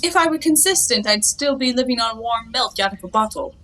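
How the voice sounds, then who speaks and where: A woman's voice: fairly high pitch, animated, brisk, pushed and bright. An actress playing a carefree, cheeky young woman of a 1920s stage comedy, with airy, teasing, mock-grand phrasing. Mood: flippant, carefree. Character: playful, cheeky, carefree young woman lounging in her bath.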